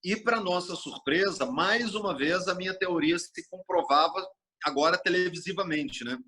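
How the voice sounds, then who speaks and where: Animated Brazilian journalist, husky bright voice. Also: male voice, sits high, moderate pace. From Brasília, Brazil. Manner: expansive, animated storyteller, acts out quoted speech. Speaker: TV journalist, interview guest. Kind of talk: casual interview talk.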